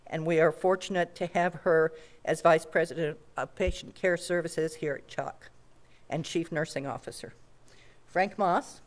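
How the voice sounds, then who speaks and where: A woman hosting a conference panel, reading prepared introductions in a measured, formal way. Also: fairly low pitch. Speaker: event host introducing panelists. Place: conference room.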